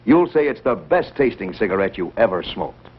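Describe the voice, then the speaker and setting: A man's voice: fairly low pitch, very animated, normal speed, very noisy. A man reading a scripted 1950s commercial with an emphatic sales delivery. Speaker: commercial announcer. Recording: dull 1950s broadcast sound.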